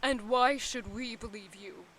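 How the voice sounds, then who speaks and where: A woman's voice: on the high side, measured pace, a bit bright, a little hiss or hum. A woman playing a proud, resolute goddess, imperious and firm, in an audio drama. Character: proud, resolute goddess, disdainful of mortal pleas. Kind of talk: dramatic audio fiction acting.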